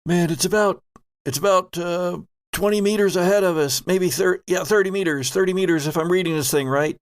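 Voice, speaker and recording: A man narrating a tabletop role-playing game as game master, in a measured, descriptive way. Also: high voice.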